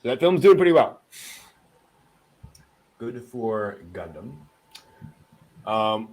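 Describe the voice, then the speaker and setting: A man hosts a casual news chat in a lively, very animated voice. His pitch moves widely from phrase to phrase while he presents items in an informal, conversational way. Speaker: host. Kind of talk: casual anime news discussion.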